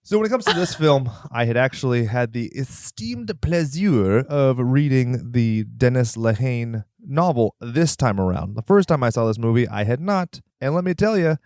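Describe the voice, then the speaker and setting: A man's voice: mid-range voice, lively intonation, moderate pace, few pauses, a little mellow, dry recording, studio quality. A man hosting a podcast, chatting casually about movies in a joking, playfully theatrical manner. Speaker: podcast host. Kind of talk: casual movie review chat.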